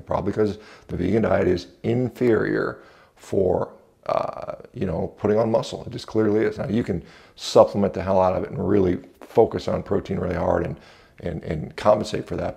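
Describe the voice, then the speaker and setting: Deep, very gravelly American man. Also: steady tone, a little fast, dark tone. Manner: blunt, dismissive, conversational with hedging asides. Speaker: video reviewer and commentator. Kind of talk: unscripted opinion commentary to camera. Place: indoors, home entrance hall. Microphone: small clip-on lapel mic.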